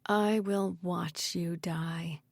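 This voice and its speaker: A woman's voice: on the low side, steady tone, extremely slow, creaky, breathy edge, no room sound, exaggerated voice. A woman voicing a ruthless, vengeful male mayor who barks and threatens in an audiobook story. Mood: cold threat. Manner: barking, snapping, menacing.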